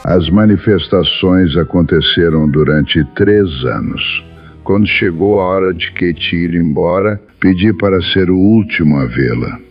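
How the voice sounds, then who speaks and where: A man narrates a scripted first-person tale. His voice is low and husky, and the recording is slightly dull, with faint music underneath. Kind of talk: scripted dramatic storytelling.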